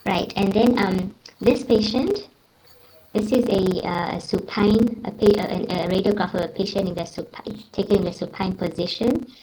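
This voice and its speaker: A woman's voice: medium pitch, normal speed, warm tone, slightly rough, low-quality recording. A woman giving a medical teaching presentation, explaining in a careful and somewhat hesitant way.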